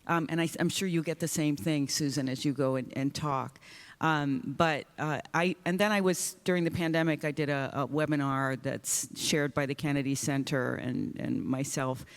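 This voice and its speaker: A woman's voice: low-pitched, fairly even intonation, moderate pace. A woman singer speaking earnestly on a panel, often restarting her sentences. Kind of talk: panel discussion. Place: conference hall stage. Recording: long reverb of a large hall.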